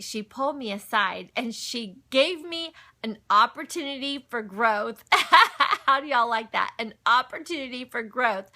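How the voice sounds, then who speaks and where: A middle-aged woman with a high, very bright, forceful voice. She chats casually like a livestream host, telling personal stories and talking faith. Her manner is folksy and direct to her viewers. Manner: chatty, folksy, breaks off to greet and answer viewers. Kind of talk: casual chat, personal storytelling and faith talk. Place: at home. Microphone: phone.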